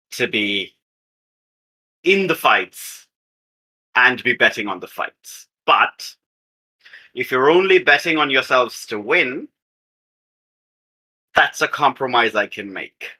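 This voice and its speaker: A stern, haughty boss of a fighting business in a tabletop game, played by a man. The voice is very bright and pointed, with a formal, sardonic manner. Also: medium pitch, lively intonation, takes it slowly, long pauses, heavily acted, poor audio.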